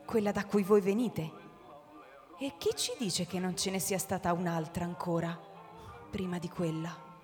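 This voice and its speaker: Actress doing kindly old granny in a radio play, matter-of-fact and pious, big echoey hall, faint music. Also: female voice, fairly low pitch, fairly even intonation, moderate pace, a little mellow, close to an everyday voice. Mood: firm, philosophical. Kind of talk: live radio drama acting.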